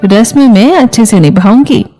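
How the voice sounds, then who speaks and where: A high, soft-edged voice of an anxious young bride, acted by a woman. She speaks hesitantly and politely, as in a cartoon moral story, with light music behind her. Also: normal speed, lightly acted. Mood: earnest, subdued. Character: timid young new bride, anxious and dutiful.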